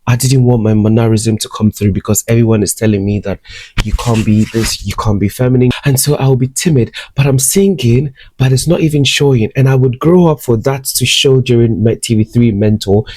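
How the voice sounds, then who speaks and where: A man's voice: mid-range voice, a little mellow, no room sound. A young man from Ghana, candidly and reflectively telling his life story to the camera at home. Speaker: vlogger. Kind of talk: personal storytelling vlog. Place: at home, in bed. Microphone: camera close up, talking to camera.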